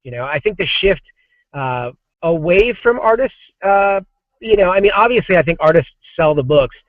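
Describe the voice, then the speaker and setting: A man's voice: high-pitched, animated, normal speed, dry recording. Dry lo-fi forty-year-old man rambling. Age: 40s. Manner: rambling, many restarts and fillers. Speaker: comics creative director, guest. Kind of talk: casual podcast interview. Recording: dry, dull lo-fi sound.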